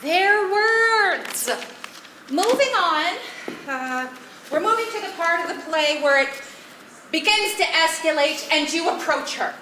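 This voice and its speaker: Woman acting bright, very high-voiced, bossy stage director, echoey. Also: animated, slow pace, exaggerated voice. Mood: grandly admiring. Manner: theatrical, insistent. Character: bossy, stubborn stage director devoted to an absurdist playwright. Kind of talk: live amateur one-act stage comedy. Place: indoor theatre stage. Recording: echoey, noisy live theatre recording.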